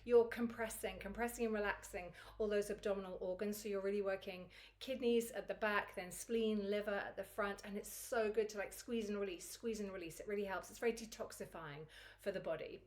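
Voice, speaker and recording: Croaky woman guiding a yoga class. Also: medium pitch, steady tone, brisk, a little mellow. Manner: chatty and encouraging, laughs easily. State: croaky, recovering from lost voice. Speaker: Kundalini yoga teacher. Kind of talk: guided yoga class instruction.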